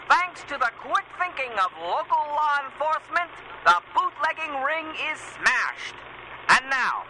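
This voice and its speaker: An actor playing an earnest, grandiose 1930s news announcer in a radio drama, declaiming every phrase. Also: male voice, extremely high pitch, bright, forceful tone, a little hiss or hum, exaggerated voice, rough recording. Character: breathless, earnest 1930s newsreel announcer, male.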